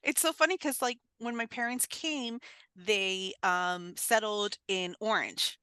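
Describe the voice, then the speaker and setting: This animated woman host chats with quick exclamations and frequent laughter. Her voice is bright and forward, and it sits in an ordinary middle pitch. The recording is dry, with no room sound. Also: clear, resonant tone, no room sound. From United States, Uruguayan family. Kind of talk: casual interview chat.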